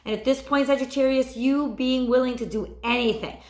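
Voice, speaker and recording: A woman in her thirties with a hoarse voice, giving a chatty weekly tarot reading to a webcam at home. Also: sits high, fairly quick, reverberant. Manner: animated, repeats phrases, chatty asides. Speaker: tarot reader. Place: small room at home.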